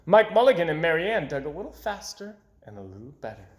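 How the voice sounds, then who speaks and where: A man reading a children's story aloud expressively, with dramatic delivery that drops to hushed phrase endings. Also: a little high, very animated, fast talker. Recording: echoey room.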